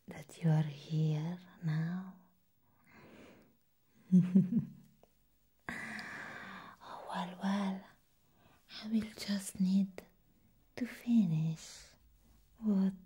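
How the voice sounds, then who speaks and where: Woman acting a slow whispering vampire nurse. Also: fairly low pitch, heavily acted. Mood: slow menacing. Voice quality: breathy whisper. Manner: slow, teasing, with drawn-out hums and soft chuckles. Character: crazy seductive female vampire nurse, softly menacing. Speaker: ASMR performer. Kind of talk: whispered ASMR roleplay. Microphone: close microphone, face near the camera.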